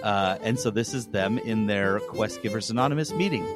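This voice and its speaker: A man's voice: fairly low pitch, moderate pace, over loud music. A man hosting a podcast in casual chat, speaking with enthusiasm and emphasis. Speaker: podcast host.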